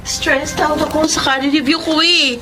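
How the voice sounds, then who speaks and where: Woman acting a high-voiced pampered young student. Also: steady tone, moderate pace, music in the background, close to an everyday voice. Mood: pleading, perky. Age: young adult. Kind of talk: Filipino radio drama acting.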